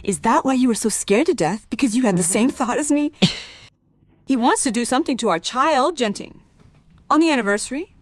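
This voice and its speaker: An actress in a radio play voicing an anguished, fearful mother, speaking intimately and dramatically in a breathy, husky voice. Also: a little high, expressive, brisk, no room sound. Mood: anguished, urgent. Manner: dramatic, swinging from hushed to urgent. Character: anguished, fearful wife and mother, intense and brooding.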